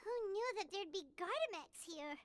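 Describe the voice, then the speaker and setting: A woman voicing a tiny, excitable, girlish floating companion in a video game, with a squeaky, childlike voice and wide-eyed exclamations. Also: normal speed, very noisy. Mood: surprised. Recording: game audio under background music.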